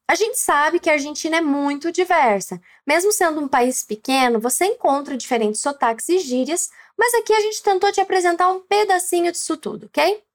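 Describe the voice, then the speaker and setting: A woman with a Brazilian Portuguese accent, teaching a language lesson slowly and clearly, prompting the listener to repeat. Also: sits high. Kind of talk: scripted lesson narration.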